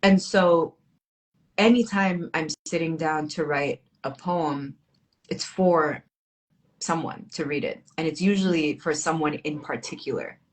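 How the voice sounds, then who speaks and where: A woman's voice: deep voice, a little slow, low-quality recording. A bilingual Arabic and English speaking woman talking as a guest poet, reflective and informal, with wry humor in a casual literary chat.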